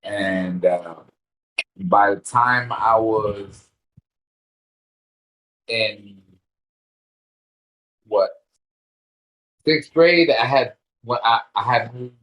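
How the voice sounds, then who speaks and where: A man from Puerto Rico in his thirties, a fighter chatting casually in an interview, relaxed and rambling with restarts and casual swearing. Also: lowish voice, extremely slow, pauses often, low-quality recording. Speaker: interview guest, bare-knuckle fighter.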